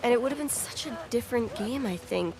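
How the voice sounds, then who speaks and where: A woman talking casually as a game developer giving commentary in a relaxed group discussion. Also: on the high side, brisk, poor audio.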